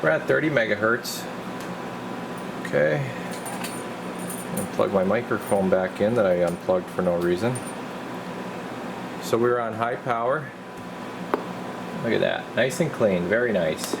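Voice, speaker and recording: A man's voice: on the low side, unhurried, creaky, pauses often. A man giving a casual hands-on demonstration as a repair technician, talking himself through each step informally. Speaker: radio repair technician presenting his bench work. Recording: noisy workshop recording with loud steady hiss.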